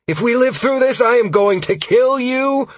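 A man's voice: a little high, slow pace, husky, no echo, voice pushed up, exaggerated voice. Man voicing a fiery, exasperated female adventurer. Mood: furious. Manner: sharp, exasperated.

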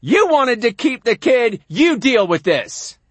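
A man's voice: very high-pitched, very animated, slow, breathy edge, no echo, voice pushed up, exaggerated voice. An actor playing an angry, crude American father in a stage monologue, hollering threats with an American accent. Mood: furious.

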